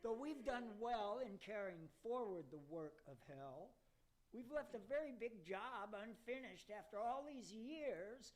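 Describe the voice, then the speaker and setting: A man's voice: sits high, animated, a little slow, low-quality recording. A man playing a pompous devil who addresses demons in a formal, oratorical way in an amateur radio play. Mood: stern, pompous. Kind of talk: amateur radio theater acting.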